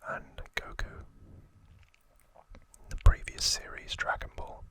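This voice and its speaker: Slow, whispering man, ASMR style. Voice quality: breathy, unvoiced whisper. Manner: soft, unhurried, pausing between short phrases. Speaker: ASMR video host. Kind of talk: whispered ASMR, informal trivia explanation.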